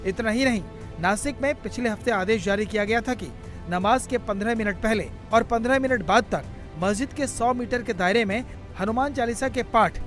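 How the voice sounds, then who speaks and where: A man narrates a scripted news report in a very high-pitched voice. Music plays clearly behind his voice-over throughout. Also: fairly bright tone.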